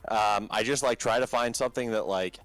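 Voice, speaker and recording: A man with a low talking voice, a band's vocalist as a podcast guest, chatting casually and rambling with many fillers and restarts. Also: fairly even intonation, a little fast, a bit bright, dry recording, faint music behind.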